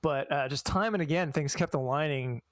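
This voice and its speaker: A man with a fairly high voice speaks casually as an interview guest. He is thoughtful and halting, feeling his way through each point. The sound is dry, with no room around it. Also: no echo. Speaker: guest, fan translator.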